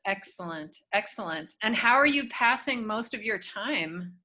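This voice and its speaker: A woman's voice: mid-range voice, expressive, measured pace, bright, forceful tone. A woman interviewing a dancer, asking questions and reacting with encouraging enthusiasm.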